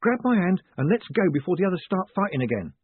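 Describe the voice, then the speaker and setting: A man voicing a nervy, eager young technician in a story, hurried and helpful. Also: high-pitched, big pitch swings, breathy edge, no echo, voice pushed up, full character voice. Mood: urgent, eager. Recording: narrow phone-like sound.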